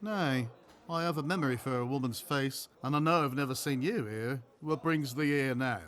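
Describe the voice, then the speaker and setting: Male actor as weathered pirate-ship sailor: rough, boastful, flirtatious, weighty archaic delivery for audio drama. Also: medium pitch, fairly bright tone, lightly acted. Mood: sly, probing. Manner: deliberate, weighty, archaic speech. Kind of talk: audio play acting.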